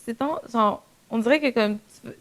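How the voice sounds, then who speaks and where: A high-pitched female voice with a light Quebec accent. She talks in an animated, informal podcast style, dropping English words into her French as she goes. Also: normal speed. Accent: Quebec French, slight. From Quebec, Canada. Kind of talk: casual podcast chat with English slang mixed in.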